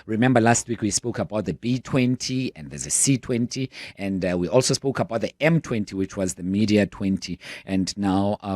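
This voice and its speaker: A man with a South African accent hosting a radio interview in the studio, relaxed with frequent filler words. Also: on the low side, no room sound. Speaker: radio host.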